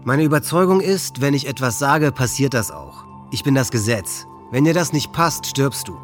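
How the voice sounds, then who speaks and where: An actor playing a hateful, volatile teenage high-school boy with a contemptuous manner, in a radio documentary drama. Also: expressive, moderate pace, close to an everyday voice. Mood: cold, menacing. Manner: ranting, rhetorical, contemptuous. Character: hateful, volatile teenage male high-school shooter reading his writings. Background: music.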